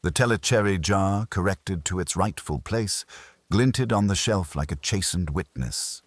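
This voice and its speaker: A man narrating a scripted first-person detective story in a wry, deliberate manner. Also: deep voice, no room sound.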